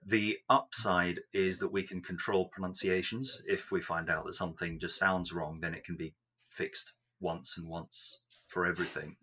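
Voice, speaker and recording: Male tech interviewee, deep register with a forward, bright edge, explaining carefully and in measured phrases. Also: flat delivery, husky. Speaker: software developer being interviewed.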